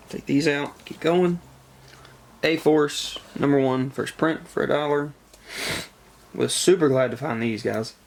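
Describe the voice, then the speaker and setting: A man with a congested, gravelly voice from a cold, chatting casually as he shows off a collection haul in a halting way. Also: fairly high pitch, a little hiss or hum. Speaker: comic book collector presenting a haul. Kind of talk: casual show-and-tell vlog chat.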